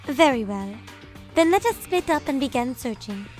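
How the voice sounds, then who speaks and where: Woman acting composed female student, high pitch, lilting intonation, formal, precise, authoritative, anime game fan dub. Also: lively intonation, moderate pace, darkish tone, soft background music. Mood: composed, assured.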